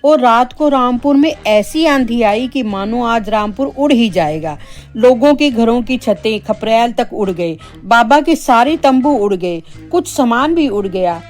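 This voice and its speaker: High-voiced woman narrating a story. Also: expressive, measured pace, darkish tone. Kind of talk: scripted children's story narration. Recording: background music.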